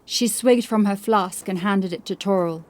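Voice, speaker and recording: A woman's voice: mid-range voice, moderate pace, a little mellow. A woman reading scripted horror fiction as an audiobook narrator.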